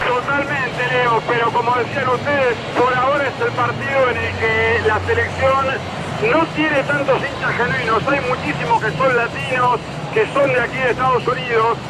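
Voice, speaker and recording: A man's voice: extremely high pitch, steady tone, moderate pace. A man with an Argentine accent working as a live radio field reporter at a football match. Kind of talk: live team announcements and fan interviews. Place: in the stands of a football stadium. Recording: loud noise of stadium and engines.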